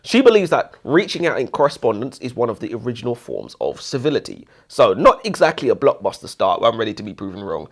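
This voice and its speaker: Casual, blunt man with animated pitch. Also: quick pace, few pauses. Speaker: book reviewer and co-host. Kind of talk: casual book review chat.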